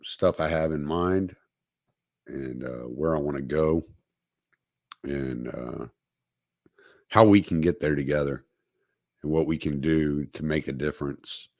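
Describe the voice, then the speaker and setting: A man hosting his own podcast, talking alone on a livestream in a halting way with many fillers and restarts, recovering from dental work. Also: very deep voice, vocal fry, a little mellow, no room sound, studio quality. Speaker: podcast host. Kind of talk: solo livestream talk.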